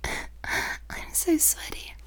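A woman voicing an affectionate lover in an intimate audio roleplay, with breathy, halting whispers. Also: fairly bright tone. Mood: tender, shy. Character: amorous, tender female lover with a tail.

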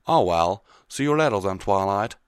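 A man's voice: low voice, lively intonation, normal speed, clean tone, no echo, clean studio sound. A man voicing a plain-spoken, blunt cartoon farm pony mare. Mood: friendly, probing. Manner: folksy, blunt.

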